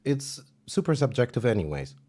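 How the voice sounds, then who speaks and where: A man with a German accent chatting casually on a music livestream, relaxed and self-deprecating, with plenty of fillers. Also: medium pitch, normal speed, husky.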